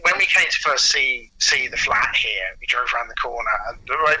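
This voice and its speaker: A man being interviewed, chatting casually through anecdotes in a halting way, often repeating words as he starts a sentence. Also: mid-range voice, sharp tone, slight background noise, low-quality recording. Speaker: interview guest, audio producer and writer.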